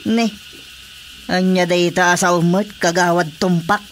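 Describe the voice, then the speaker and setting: A man's voice: high voice, little pitch movement, a little slow, a bit bright, a little hiss or hum. A man acting a deferential, nosy village watchman in an Ilocano radio comedy drama, with an Ilocano Filipino accent. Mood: probing, surprised.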